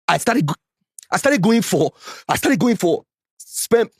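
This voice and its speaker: A Nigerian man in his forties telling a painful personal story as a podcast guest, animated and slipping into Pidgin when worked up. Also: measured pace, slightly rough, dry recording, studio quality. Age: 40s. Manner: animated, mixes Pidgin, gets loud and high when worked up.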